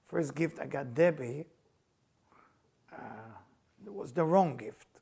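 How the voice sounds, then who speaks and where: A middle-aged man with an Israeli accent, hosting a casual chat and telling personal stories in a playful, animated way, often laughing as he talks. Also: on the high side, slow, gravelly, husky. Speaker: spiritual teacher and podcast host.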